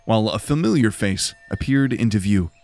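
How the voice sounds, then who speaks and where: A man's voice: on the low side, expressive, normal speed, a little mellow. A man giving dramatic scripted narration for a fantasy audio drama.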